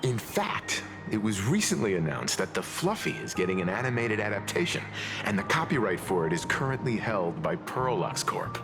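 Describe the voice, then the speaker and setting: An actor voicing a reserved, level-headed young man in a video game, speaking calmly. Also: on the low side, moderate pace. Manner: calm, reserved. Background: game soundtrack music.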